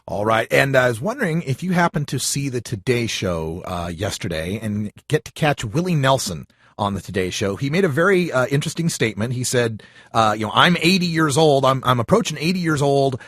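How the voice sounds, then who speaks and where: A man's voice: medium pitch, lively intonation, a little fast, fairly bright tone, no echo, clean studio sound. A man hosting a live call-in talk show, chatting casually and reading out audience questions. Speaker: radio talk show host.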